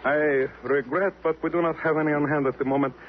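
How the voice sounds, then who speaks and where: Actor as hurried, high-voiced, fussy cashier. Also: male voice, fairly even intonation, lightly acted. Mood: apologetic, flustered. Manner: formal, hurried, apologetic. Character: fussy, anxious, servile male casino cashier. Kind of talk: 1950s radio drama acting. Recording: dull old radio, faint noise.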